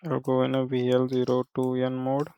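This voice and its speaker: Man, software trainer, smooth clear voice, little pitch movement, halting step-by-step walkthrough, frequent check-in tags. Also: monotone, dry recording. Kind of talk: live screen-share software walkthrough.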